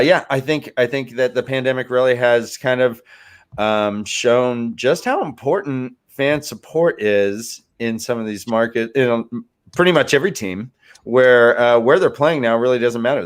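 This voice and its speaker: A man on a sports panel talking casually, thinking aloud in halting phrases. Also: medium pitch. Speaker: fantasy football panelist.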